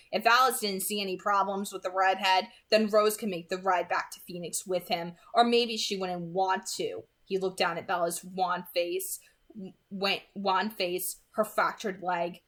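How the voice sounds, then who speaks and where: A woman's voice: mid-range voice, steady tone, moderate pace, fairly bright tone. A young adult woman reading a novel aloud as an amateur and commenting on it casually.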